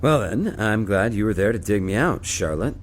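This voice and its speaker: Actor doing a dry space captain guy, lowish voice, steady and brisk, faint noise. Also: natural voice. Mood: easygoing. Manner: brisk, matter-of-fact. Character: steady, dry male starship captain giving orders. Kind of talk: audio drama acting.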